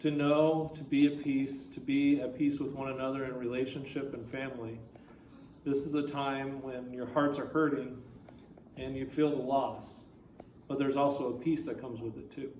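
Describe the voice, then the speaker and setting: A man's voice: mid-range voice, level tone, normal speed, darkish tone. A man serving as pastor, leading a memorial service in plain words with many fillers. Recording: echoey hall, far from mic, noisy.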